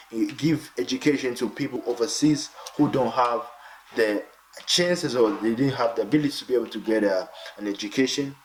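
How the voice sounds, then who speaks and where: A man's voice: mid-range voice, a little hiss or hum, rough recording. A male host who opens the show and introduces his guest with eager, repetitive enthusiasm. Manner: enthusiastic and repetitive, keeps saying "incredible".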